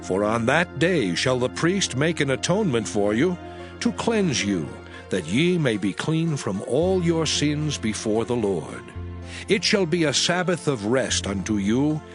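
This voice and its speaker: A man reading scripture in a formal, solemn and measured declamatory style. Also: medium pitch, sing-song. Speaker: scripture narrator. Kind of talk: dramatized audio Bible reading, formal narration. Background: music.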